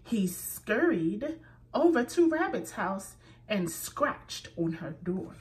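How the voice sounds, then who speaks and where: Lady reading a picture book to little kids, slow and super expressive, pitch bouncing around. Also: recorded from a distance. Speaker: children's story reader.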